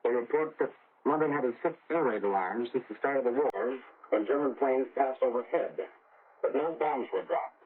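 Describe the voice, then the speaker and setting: A man giving a scripted 1940s radio news report as a correspondent, measured and careful to make figures clear. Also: medium pitch. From United States. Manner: measured, repeats figures for clarity. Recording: crackly, phone-like 1940 shortwave radio relay.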